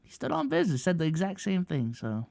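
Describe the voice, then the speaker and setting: A man co-hosting a podcast, recounting things in casual banter with a halting, filler-heavy delivery. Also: sits high, wildly swooping pitch, vocal fry, a little mellow, no room sound, studio quality. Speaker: podcast co-host.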